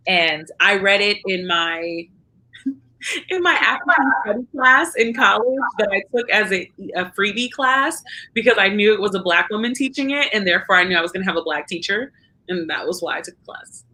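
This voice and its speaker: A woman's voice: mid-range voice, expressive, normal speed, pushed and bright, low-quality recording. A young woman talking candidly and wryly in a casual podcast conversation, with a self-mocking edge. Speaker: podcast co-host.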